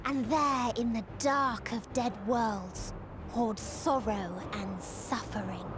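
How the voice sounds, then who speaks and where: High, slow, sorrowful alien female. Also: steady tone, rough recording. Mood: solemn, ominous. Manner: solemn, slow, lyrical declaiming. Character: sorrowful female alien singer of despair, hive-minded. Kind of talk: dramatic video game acting. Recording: music behind the voice.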